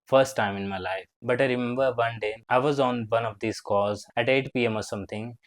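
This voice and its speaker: A man's voice: medium pitch, fairly even intonation, brisk, no echo. A man in his twenties, a fitness vlogger, telling a rambling personal story with lots of fillers and grammar slips. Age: 20s. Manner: rambling, filler-heavy, with frequent "like" and non-native grammar slips. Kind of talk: casual storytelling to camera.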